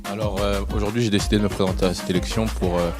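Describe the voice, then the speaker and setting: A young man from Paris, a pageant contestant answering casting questions hesitantly, with many pauses and fillers. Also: on the low side, steady tone, music in the background. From Paris, France.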